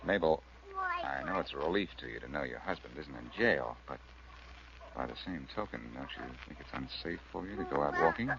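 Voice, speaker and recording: An actor playing a confident, wisecracking reformed crook turned sleuth in a 1940s radio drama, with a cool, glib delivery. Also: male voice, extremely low pitch, animated, fairly quick, vocal fry, full character voice. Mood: cautioning. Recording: muffled, hissy vintage radio recording.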